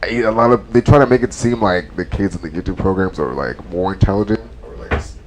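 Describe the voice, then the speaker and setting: A man's voice: low voice, a little fast, a little hiss or hum, rough recording. A young man from a Trinidadian and Dominican family, chatting casually and reflectively with friends and laughing easily. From Trinidadian and Dominican family, grew up in South Florida. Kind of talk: casual podcast chat.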